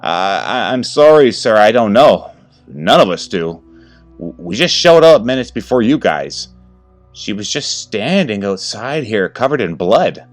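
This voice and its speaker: A man's voice: fairly low pitch, very animated, a little slow, soft background music, pitched above the performer's own voice. A man voicing a nervous young male paramedic who stammers uneasily.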